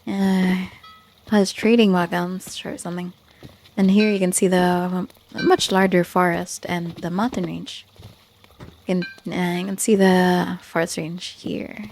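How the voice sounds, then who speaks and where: Woman casually showing off her game build, real slow n hesitant, low even voice. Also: a little hiss or hum. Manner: hesitant, searching for words. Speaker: gamer showing off own Minecraft village build. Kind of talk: casual, unscripted video game tour commentary.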